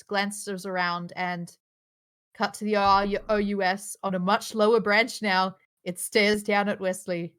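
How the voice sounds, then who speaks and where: A woman's voice: mid-range voice, fairly bright tone. Woman narrating a script live. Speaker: reader of stage directions at a live table read.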